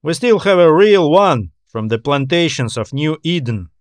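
A man's voice: fairly high pitch, lively intonation, moderate pace, no room sound, clean studio sound, lightly acted. A man voicing a cautious, law-abiding space station operator in an audio story, earnest and reasoning. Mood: friendly.

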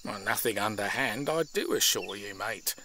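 An actor playing a blunt, smug railway surveyor in an audio drama, officious and dismissive. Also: male voice, lowish voice, animated, normal speed, bright, forceful tone, a little hiss or hum. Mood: smooth assurance. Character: blunt, smug male railway surveyor defending his employer.

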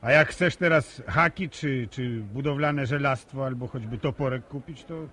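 An actor playing a bashful young village farmer in a radio drama, stammering and hesitant in colloquial rural speech. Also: male voice, medium pitch, normal speed, pushed and bright. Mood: earnest. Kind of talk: naturalistic Polish radio drama acting. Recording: radio drama with faint background noise.

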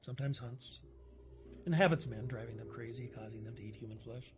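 A man's voice: medium pitch, a little fast, background noise, close to an everyday voice. An actor playing a haunted older man telling stories in an audio drama, plain-spoken and folksy, with clipped phrasing and wry humor. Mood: haunted, grim.

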